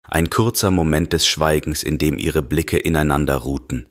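Man, extremely low voice, grave horror audiobook narration, measured delivery, dry close sound. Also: steady tone, no echo. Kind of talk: scripted horror story narration.

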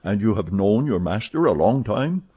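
A man voicing a suspicious adult detective who asks measured, probing questions, in a dull lo-fi recording. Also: lowish voice, sing-song, breathy edge. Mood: calm.

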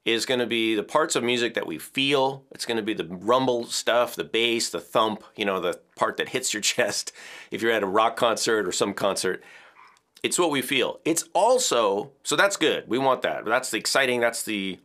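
A man in his fifties with a bass-baritone voice, casually explaining audio equipment in a home studio. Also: moderate pace. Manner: relaxed, chatty explaining with gestures and small laughs. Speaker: music educator. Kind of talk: hands-on audio tutorial. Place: home music studio, indoors. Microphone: headphones on, studio mic off camera.

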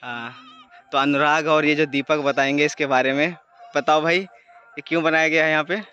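A man's voice: fairly high pitch, steady tone, normal speed, bright, forceful tone, smooth, dull audio. A man in his thirties walking through open village fields at dusk, chatting to viewers on a phone held at arm's length. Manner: chatty, addresses viewers directly. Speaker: vlogger. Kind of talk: casual travel vlog narration.

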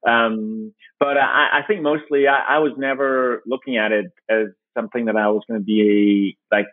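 A man from Denmark, a company founder, answering interview questions in a thoughtful, halting way with frequent restarts. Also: medium pitch, steady tone, no echo. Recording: dry, tinny phone-like line.

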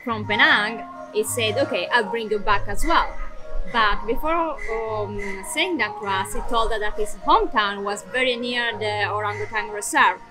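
A woman's voice: mid-range voice, normal speed, music in the background, rough recording. Chatty young woman travel vlogger. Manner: chatty with many fillers and restarts. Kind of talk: casual vlog talk to camera.

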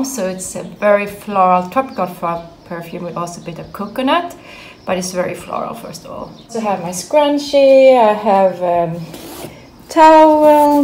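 A woman's voice: mid-range voice, darkish tone, reverberant, slight background noise. A woman in her thirties, a perfume vlogger, chatting casually and upbeat about her favourite scents. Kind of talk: casual vlog chat.

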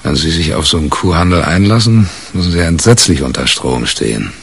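An actor playing a wry, wary tabloid reporter in a German radio crime drama, colloquial and sardonic. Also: male voice, low-pitched, lively intonation, fairly quick, vocal fry, husky, heavily acted. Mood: probing, sardonic. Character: wry, wary, deal-making tabloid newspaper reporter, male. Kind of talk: 1980s German radio crime drama, dialogue and first-person narration.

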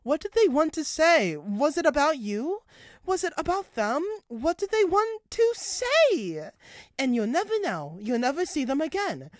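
A woman's voice: high voice, very animated, a little slow, no echo, clean studio sound, voice pushed up. A woman voicing a shy, anxious female talking steam engine in a storybook tale, hesitant and emotional. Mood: anxious, hurt. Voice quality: quiet, small voice.

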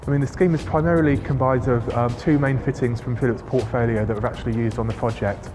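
Guy in his 30s explaining work stuff to camera, talks quick, music playing behind him. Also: medium pitch, steady tone. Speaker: lighting consultant. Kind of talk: interview explanation for a case study video.

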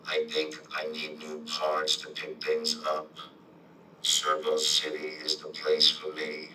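A synthetic male robot voice delivering a friendly, corny scripted monologue in a stiff, deadpan manner. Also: a bass voice, slow pace, recorded from a distance. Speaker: robot character presenter. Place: computer speaker playback in a room. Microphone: distant handheld camera mic. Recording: echoey, noisy playback filmed from off.

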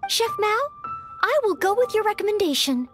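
An actress voicing a polite, earnest, anxious young maid-knight in an anime-style video game, courteous and a little hesitant. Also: female voice, very high voice, animated, moderate pace, over music. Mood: nervous, determined.

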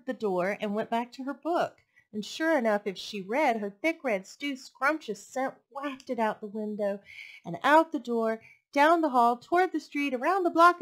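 A young woman hosting a storytime, reading picture books expressively with deliberate phrasing for young listeners. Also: on the high side. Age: young adult. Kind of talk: expressive children's story read-aloud. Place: indoors, furnished home room. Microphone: headset microphone.

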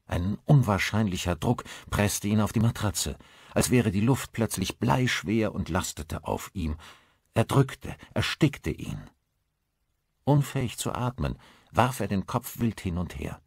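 A male narrator with a very deep voice reads scripted horror fiction as an audiobook. The sound is dry, with hardly any room to it. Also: husky, no echo.

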